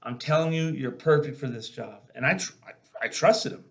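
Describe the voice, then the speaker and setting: A man's voice: mid-range voice, fairly quick, gravelly, fairly bright tone. Casual, earnest man telling stories. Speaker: podcast host and former army sergeant. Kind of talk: casual conversational storytelling.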